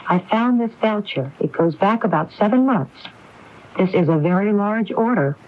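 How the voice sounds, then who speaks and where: An actress playing a determined, anguished widow in a radio mystery drama, speaking in an insistent, probing way. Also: female voice, medium pitch, expressive, moderate pace, slight background noise, lightly acted. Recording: dull lo-fi AM radio broadcast recording.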